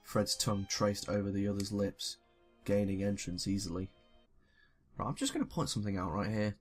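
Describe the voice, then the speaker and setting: A man's voice: fairly low pitch, expressive, normal speed, husky, soft background music. A young man reading a story casually, with sweary, self-deprecating joking asides. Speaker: YouTuber reading fan fiction.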